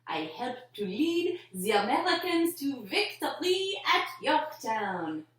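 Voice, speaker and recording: A woman's voice: high voice, big pitch swings, slow, a bit bright, pitched above the performer's own voice, heavily acted. A woman voicing a gallant French nobleman, a volunteer officer, in a theatrical children's puppet show manner. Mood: gallant, grand. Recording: roomy echo, distant mic.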